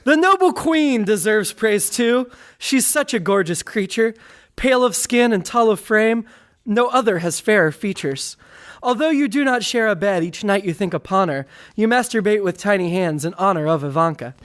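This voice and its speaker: A man's voice: high voice, expressive, moderate pace, fairly bright tone. A man reading a satirical rhyming poem as a comedy performer, in a mock-grandiose, declamatory manner.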